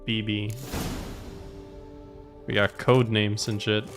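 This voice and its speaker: A man's voice: lowish voice, flat delivery, slow, a bit bright. A man giving casual gameplay commentary as a streamer, musing aloud to himself as he plays. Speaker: game streamer. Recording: voice over game music. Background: game music.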